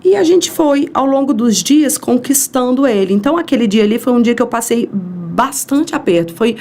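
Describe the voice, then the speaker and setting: A woman's voice: on the high side, moderate pace, a little hiss or hum. A woman from Brazil telling a long story as a podcast guest, acting out the voices in it. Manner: animated, acts out quoted dialogue. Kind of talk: storytelling in an interview.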